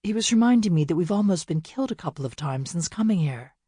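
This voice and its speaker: A woman voicing a careful, empathetic sister who speaks quietly. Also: on the low side, animated, fast talker, breathy edge, dry recording, studio quality. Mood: gentle, careful.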